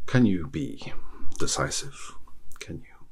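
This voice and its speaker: Man playing a slow, husky, low musing fool. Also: sing-song, full character voice. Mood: teasing, musing. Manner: hesitant, halting, wordplay-loving, musing. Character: Hanged Man tarot card come to life, musing wry fool. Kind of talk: theatrical ASMR roleplay monologue.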